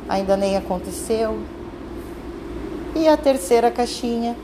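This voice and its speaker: Brazilian woman guiding meditation, background noise. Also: fairly high pitch. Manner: calm, soothing instruction with drawn-out phrases. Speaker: meditation guide. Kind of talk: guided meditation.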